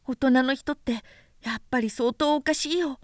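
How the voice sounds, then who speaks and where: Woman voicing a high-pitched, curious little boy prince. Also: moderate pace, no room sound, studio quality, lightly acted. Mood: puzzled. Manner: innocent, questioning.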